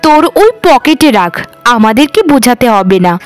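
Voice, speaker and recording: Woman as a shrill-pitched, nagging jealous middle sister. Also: expressive, moderate pace, clear, resonant tone, faint music behind, lightly acted. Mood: scornful. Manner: nagging, sarcastic. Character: jealous, sharp-tongued middle landlord's daughter. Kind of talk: cartoon voice acting.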